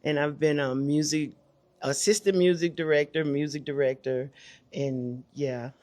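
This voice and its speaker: A woman's voice: sits low, steady tone, measured pace, darkish tone. A woman answering interview questions casually, in a plain-spoken and thoughtful way. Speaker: interview guest, community theater actor. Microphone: handheld microphone.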